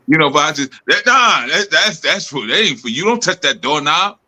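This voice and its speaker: A man's voice: fairly high pitch, big pitch swings, moderate pace, sharp tone. A man leading a group talk, teaching loosely with laughs and lots of "you know" and "man". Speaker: spiritual group leader.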